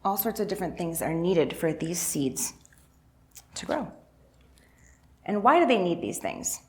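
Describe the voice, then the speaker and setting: A woman's voice: lowish voice, big pitch swings, unhurried, a little mellow. A woman giving an interactive talk for all ages at church, informal and encouraging, prompting listeners with questions. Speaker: church faith and justice coordinator.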